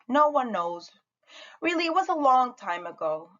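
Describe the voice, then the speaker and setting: A woman's voice: high voice, sing-song, moderate pace, muffled recording, voice pushed up. A woman reading a storybook, voicing a solemn, weary older man who remembers past struggles.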